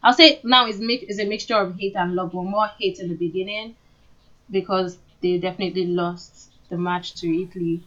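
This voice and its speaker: A woman's voice: fairly low pitch, steady tone, brisk, a bit bright, highs cut off. A woman with a Nigerian accent co-hosting a podcast, talking casually and earnestly as she shares her opinions. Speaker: podcast co-host. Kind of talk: casual conversational discussion.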